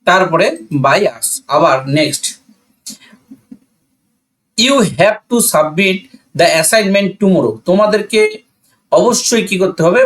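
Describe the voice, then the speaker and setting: Higher-pitched Bangladeshi man teaching. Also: a bit bright. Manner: didactic, asks and answers own questions, repeats key points. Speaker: English grammar teacher. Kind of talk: classroom-style grammar lesson explanation.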